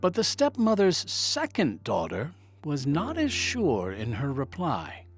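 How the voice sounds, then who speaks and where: A man narrating a scripted children's fairy tale in an expressive, dramatic storytelling manner. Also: a little high, slow pace. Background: music.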